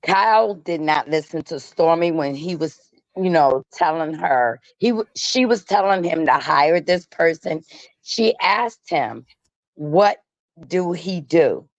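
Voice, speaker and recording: A woman's voice: low-pitched, expressive, slow pace, dry recording. A woman giving casual gossip commentary as a guest, emphatic and matter-of-fact, with colloquial phrasing. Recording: dull, lo-fi call audio.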